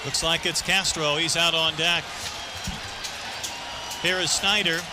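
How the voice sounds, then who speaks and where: A man's voice: a little high, fairly even intonation, slow pace. A man calling live baseball play-by-play as an announcer from a stadium booth. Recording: noisy ballpark broadcast, loud crowd. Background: roaring stadium crowd.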